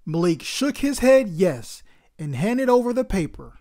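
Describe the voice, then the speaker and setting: A woman's voice: mid-range voice, sing-song, a little slow, warm tone. A woman hosting a story time, reading expressively as the narrator of a children's book.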